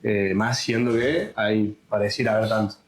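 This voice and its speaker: Fairly low-pitched Argentine man chatting. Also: fairly even intonation, normal speed, rough recording. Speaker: co-host. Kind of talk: casual chat.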